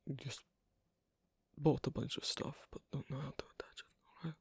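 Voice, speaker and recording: A performer playing a shy, socially awkward werewolf on a first date in a soft ASMR roleplay, with a breathy, husky voice and halting, hesitant, terse delivery. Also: medium pitch, steady tone, no echo, clean studio sound. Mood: shy, reserved.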